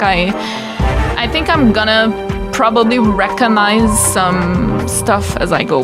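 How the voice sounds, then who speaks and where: A young woman with a Quebec French accent, chatty and excited, introducing her pre-recorded let's-play of a video game. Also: medium pitch. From Quebec, Canada. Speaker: gaming YouTuber. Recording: game music playing behind.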